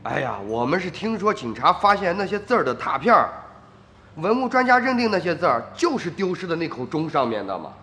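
A man's voice: on the high side, big pitch swings, brisk, sharp tone, full character voice. An actor playing a pushy middle-aged village man in a radio drama, wheedling and insistent, repeating himself as he presses. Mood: explaining. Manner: insistent, repetitive. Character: wheedling, pushy village father feigning greed. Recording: reverberant studio drama with music.

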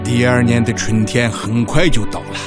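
A man's voice: mid-range voice, steady tone, moderate pace, over loud music, lightly acted. A man acting an eager, shrewd village party secretary in a radio drama, folksy and emphatic. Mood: calm narrating. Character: eager, shrewd, big-hearted male village party secretary rallying farmers.

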